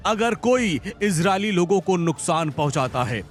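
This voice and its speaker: A man's voice: sits high, animated, normal speed. A man narrating a news feature in a dramatic, emphatic scripted style. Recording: voice-over with loud music bed.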